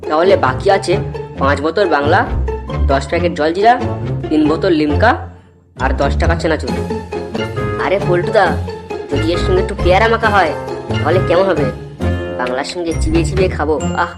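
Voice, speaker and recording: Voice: mid-range voice, brisk, long pauses, loud music behind the voice, natural voice. A performer playing a cheeky, pushy young male drinking buddy in a comedy cartoon, bantering in slang. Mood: eager.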